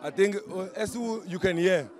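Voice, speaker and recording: A hoarse footballer giving a proud post-match interview pitchside outdoors, mixing English and Zulu. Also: male voice, normal speed, darkish tone, a faint crowd. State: hoarse, lost voice. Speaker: footballer, defender.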